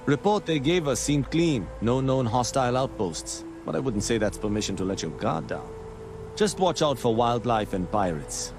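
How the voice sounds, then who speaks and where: An actor playing a seasoned space-fleet officer briefing a recruit in a video game, easygoing and folksy. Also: male voice, medium pitch, expressive, moderate pace, music in the background, close to an everyday voice. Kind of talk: scripted video game dialogue.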